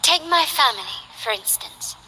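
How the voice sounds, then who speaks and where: An ambitious young heiress of a noble house in a video game. Her voice is on the high side and strikingly bright. She speaks with measured elegance and composure, over faint background noise. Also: expressive, full character voice, rough recording. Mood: gently inviting. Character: poised, ambitious young noblewoman heir of an old family. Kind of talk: scripted video game character voice lines.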